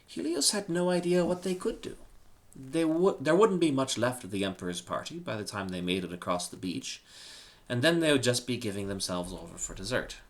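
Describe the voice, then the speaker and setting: A man's voice: mid-range voice, expressive, a little fast. A man reading a humorous short story aloud in a dramatic scripted narration. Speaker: story reader.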